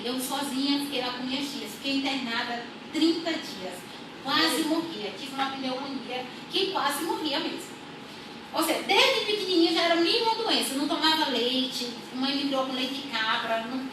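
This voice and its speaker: A young woman from rural Brazil telling her life story as a church testimony, unhurried and repeating her phrases. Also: high-pitched. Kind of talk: personal testimony. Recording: echoey room, far from mic, audible noise.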